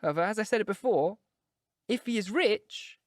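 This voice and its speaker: A man's voice: high-pitched, lively intonation, normal speed, dry recording, studio quality, pitched above the performer's own voice. A man voicing a vain, mannered middle-aged actress who plays the mother. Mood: fussy. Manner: affected, mannered.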